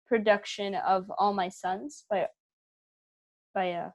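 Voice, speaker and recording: A woman's voice: medium pitch, steady tone, slow pace. A woman chatting as an interview guest, thinking aloud and searching for her words. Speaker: artistic director, interview guest. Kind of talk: casual interview chat.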